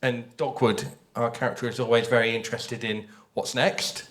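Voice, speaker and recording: A man's voice: mid-range voice, fairly even intonation, moderate pace, a bit bright. A man speaking conversationally on a panel as a writer, explaining his ideas thoughtfully and repeating phrases for emphasis. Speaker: audio drama writer on a festival panel.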